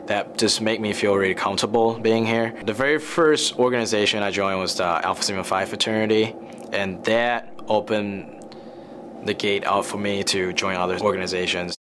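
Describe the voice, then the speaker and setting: A low-voiced man from Taiwan speaks about his college life in a friendly, earnest way. Clear noise hums behind him. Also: steady tone, moderate pace. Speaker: college student. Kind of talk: promo interview testimonial.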